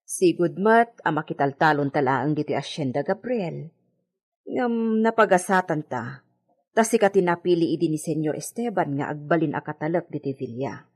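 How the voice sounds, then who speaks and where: Husky, expressive Filipino village woman. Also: deep voice, moderate pace. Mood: chatty. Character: deferential, practical village woman, loyal household worker. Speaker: radio drama actor. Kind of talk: radio drama acting.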